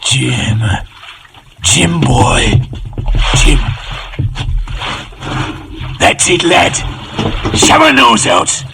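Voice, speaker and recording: An actor playing a wily, wheedling one-legged old pirate with a stage West Country accent in vintage radio drama style. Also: male voice, mid-range voice, lively intonation, very slow, gravelly, pauses often, heavily acted. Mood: fond, stirred. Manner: wheedling, mock-deferential, swaggering. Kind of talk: 1930s-40s radio acting. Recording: noisy old radio broadcast recording.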